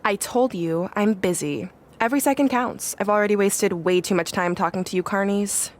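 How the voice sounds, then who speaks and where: Video game role: smug, mocking female wrestling queen bee, mid-pitched, played by a woman. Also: normal speed, natural voice. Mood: dismissive, taunting. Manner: boastful, condescending, mocking. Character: smug, boastful mean-girl female wrestler. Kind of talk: video game cutscene acting.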